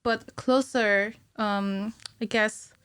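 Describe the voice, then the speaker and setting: Woman explaining haltingly, dry studio sound. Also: mid-range voice, steady tone, a bit bright, smooth, no echo. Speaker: podcast guest, cinematic animator. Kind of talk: conversational technical explanation in an interview. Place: small indoor podcast studio. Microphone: studio microphone on a stand.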